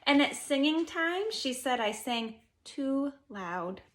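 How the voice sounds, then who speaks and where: A woman in her forties reading a children's picture book aloud expressively, with deliberate, emphatic phrasing. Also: high-pitched, sing-song. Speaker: storybook reader. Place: living room at home, indoors.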